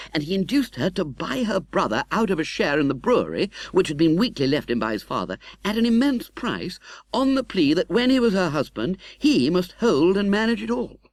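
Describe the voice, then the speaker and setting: A man playing a genteel, candid, cheerfully optimistic young gentleman in an audiobook, chatty and slightly hesitant. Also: high voice, no room sound, voice pushed up, exaggerated voice. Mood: earnest, animated. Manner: animated, chatty, hesitating with little 'uh's. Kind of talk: audiobook character acting.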